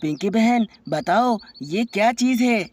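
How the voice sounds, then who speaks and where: A woman voicing a clever, helpful male insect in a children's animated story. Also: a little high, measured pace. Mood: confident.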